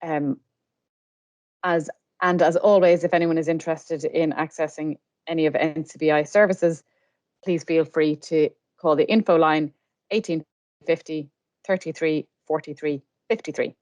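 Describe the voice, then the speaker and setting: Irish female podcast host, deep register, informative and conversational, very dry sound. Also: steady tone, moderate pace, darkish tone, dry recording. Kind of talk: conversational interviewing with informational announcements.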